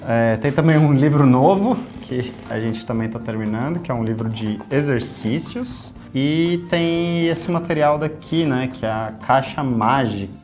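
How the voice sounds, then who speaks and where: A man in his thirties with a São Paulo Brazilian accent, talking casually in an interview with lively gestures and frequent tag questions. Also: medium pitch, measured pace, few pauses, soft background music. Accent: Brazilian Portuguese, São Paulo. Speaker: YouTube creator. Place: small office studio.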